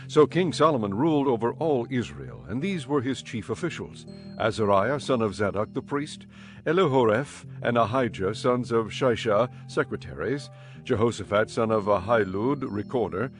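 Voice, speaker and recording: A man narrating an audio Bible in a measured, formal storytelling style. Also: on the low side.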